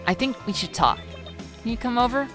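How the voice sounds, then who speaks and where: A woman's voice: a little high, expressive, fairly quick, fairly bright tone, soft background music, pitched above the performer's own voice. A woman voicing a mischievous, amused teenage schoolgirl in an audiobook-style story dub, playful and mock-innocent. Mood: sheepish. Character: teasing, gleeful teenage schoolgirl with a mischievous streak.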